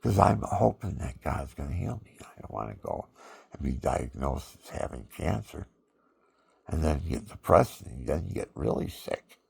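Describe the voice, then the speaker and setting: An older man with a deep voice, talking in a rambling, folksy personal monologue full of fillers. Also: big pitch swings, normal speed, creaky, a little mellow, slightly rough. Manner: folksy, meandering, with many fillers.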